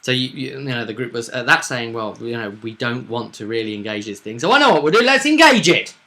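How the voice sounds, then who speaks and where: A man in a casual, animated chat as host and game master, recapping a tabletop roleplaying session and often repeating himself. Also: mid-range voice, fast talker.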